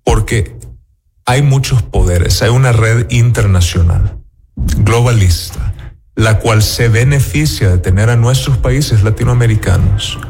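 A man's voice: lowish voice, measured pace, vocal fry, darkish tone, slight background noise. A man with a Salvadoran accent, an interview guest making assertive political arguments. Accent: Salvadoran Spanish.

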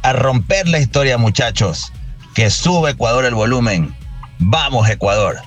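A man's voice: mid-range voice, lively intonation, normal speed, faint music behind. A man reciting a rousing message as a listener's voice note. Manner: declamatory, rousing.

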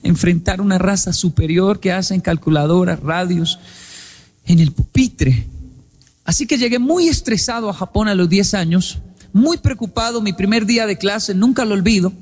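A man's voice: high-pitched, normal speed, darkish tone, slightly rough, long reverb tail. A man from Colombia, giving a humorous storytelling talk on stage and acting out remembered conversations. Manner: humorous, acts out quoted dialogue. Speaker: public speaker.

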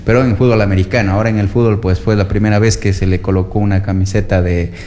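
A man, a radio sports commentator who explains matches in a measured, casual way. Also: lowish voice, steady tone, warm tone. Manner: explanatory, measured, with fillers like "pues".

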